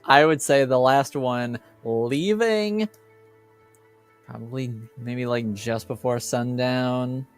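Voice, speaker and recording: A man with a clean, smooth voice runs a tabletop game as its game master. He speaks slowly and hesitantly, musing over his answers, with soft music in the background. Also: mid-range voice. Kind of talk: casual improvised answers.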